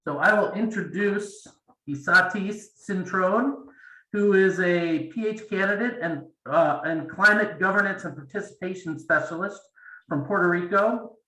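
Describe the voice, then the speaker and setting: Bright-voiced man in an echoey room. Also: high-pitched, measured pace. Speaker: event host introducing speakers. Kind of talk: informal event introduction. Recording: roomy, echoing, distant microphone.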